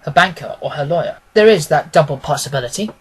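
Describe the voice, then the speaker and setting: Husky voice, confident gentleman detective, acted by a male reader, analytical, emphatic, self-assured, storybook delivery. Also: fairly high pitch, lively intonation, quick pace, pitched below the performer's own voice. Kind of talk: dramatised audiobook reading.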